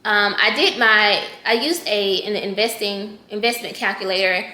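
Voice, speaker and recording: A woman in her thirties talks through a casual check-in with a bright, forceful voice. She chats loosely, corrects herself mid-thought and speaks straight to her viewers. The sound carries a roomy echo. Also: medium pitch, normal speed. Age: 30s. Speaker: personal finance vlogger.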